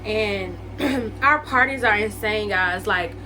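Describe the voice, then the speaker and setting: Woman, late twenties, casual vlog chat, rambling and friendly, medium pitch, audible background hum. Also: takes it slowly. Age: 20s. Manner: chatty, lots of likes and ums, addresses viewers as y'all and guys. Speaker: vlogger. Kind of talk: casual get-to-know-me chat to camera.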